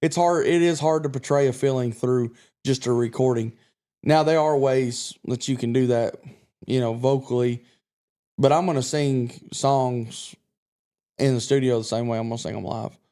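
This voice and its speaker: A man's voice: mid-range voice, normal speed, mellow. A man from Kentucky, a guest country singer, chatting on a podcast in a confident, rambling way with many restarts. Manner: self-assured, rambling with restarts. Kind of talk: casual podcast chat.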